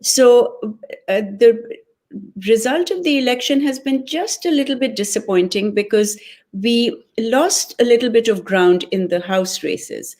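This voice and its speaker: Woman from Pakistan, interview guest, opinionated, measured delivery. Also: on the high side, a little mellow. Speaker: guest, political club member. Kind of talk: conversational interview.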